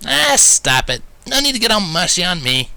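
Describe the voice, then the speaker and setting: A man's voice: high-pitched, sing-song, normal speed, gravelly, sharp tone, no echo, voice pushed up, full character voice. A man voicing a brash female cartoon pegasus tomboy, casual, blunt and grudging. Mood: embarrassed.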